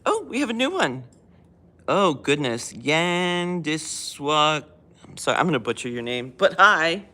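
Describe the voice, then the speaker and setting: An older woman hosting a casual livestream chat in a library, chatty and self-mocking, quick to laugh at herself. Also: sits low, big pitch swings, pushed and bright. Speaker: livestream host. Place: public library.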